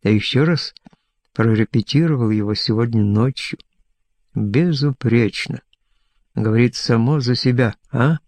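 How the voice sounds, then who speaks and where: Male narrator as aging showman, boastful, lonely, insistent, slow rambling phrases, dry audiobook sound. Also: mid-range voice, darkish tone, no room sound, close to an everyday voice. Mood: boastful, defiant. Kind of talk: audiobook character dialogue.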